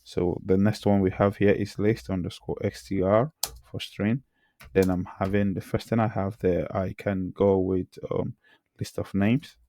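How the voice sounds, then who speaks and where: A hoarse man who says he has lost his voice, teaching programming and talking through the code he types, often clearing his throat. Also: sits low, little pitch movement, measured pace, dark tone. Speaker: programming tutor. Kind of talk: screen-recorded coding tutorial.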